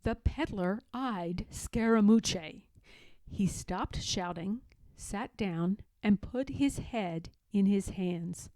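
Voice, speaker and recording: A woman narrating a children's storybook aloud in an expressive, deliberate way. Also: medium pitch, no room sound.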